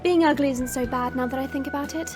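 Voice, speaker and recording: A woman's voice: high-pitched, steady tone, fast, music in the background, natural voice. A woman playing a proud, guarded dragon princess in human form, speaking in a formal, imperious way in an audio fantasy drama. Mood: matter-of-fact, dismissive.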